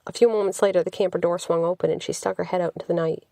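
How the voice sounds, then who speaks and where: A woman's voice: on the low side, fairly even intonation, very fast, vocal fry, warm tone, no room sound. American woman narrating fiction, dry sound. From United States. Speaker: author narrating her audiobook. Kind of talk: scripted story narration.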